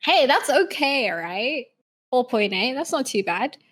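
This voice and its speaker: Podcast co-host, 20s woman, Australian-American accent, high and animated. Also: measured pace. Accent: Australian mixed with American. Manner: swearing, laughing often, lively banter. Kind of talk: casual chat.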